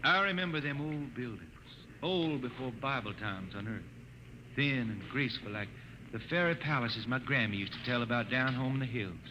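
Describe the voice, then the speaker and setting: A blind drifter spaceman from the Ozark hills, weary and wistful, talks in a slow folksy rural drawl, as a man plays him in an old radio drama. His voice is muffled like a vintage broadcast, with a steady hiss behind it. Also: mid-range voice, close to an everyday voice. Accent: Ozarks rural American. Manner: weary, wistful, quietly stubborn. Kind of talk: 1950s radio drama acting.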